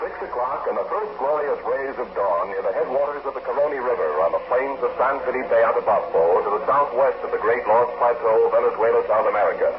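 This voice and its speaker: A man's voice: medium pitch, fast, long pauses, lo-fi sound. Guy announcer narrating a 40s radio serial, formal, very noisy phone-thin old recording. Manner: formal, grand announcer delivery. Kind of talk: 1940s radio scripted narration.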